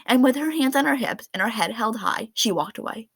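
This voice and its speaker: A woman reading a children's picture book aloud in an expressive storytelling style. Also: a little high, a little fast, breathy edge, no echo. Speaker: read-aloud narrator of children's books.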